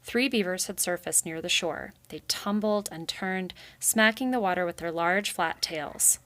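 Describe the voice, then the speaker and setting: A woman's voice: on the low side, a little slow, a bit bright. A woman narrating a children's story as she reads it aloud. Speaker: reader.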